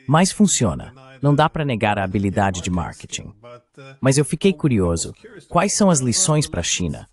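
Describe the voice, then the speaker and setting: A man speaking as an interview host in a dub, measured and inquiring. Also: medium pitch, a little mellow. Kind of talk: dubbed interview questioning.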